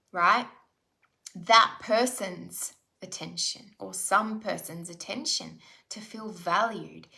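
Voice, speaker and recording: A woman's voice: medium pitch, gravelly, bright, forceful tone. A middle-aged woman leading a live online self-development workshop, talking conversationally and checking in with rhetorical questions. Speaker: spiritual life coach.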